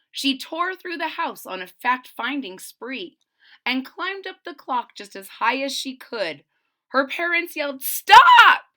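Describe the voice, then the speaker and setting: A high, lively woman's voice reads a rhyming storybook to children, slow and unhurried. Her tone is bright and clear, and she stops now and then to talk the story over in simple words for little listeners. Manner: expressive storyteller, adds plain-spoken asides for children. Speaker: read-aloud narrator. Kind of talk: children's picture book read-aloud.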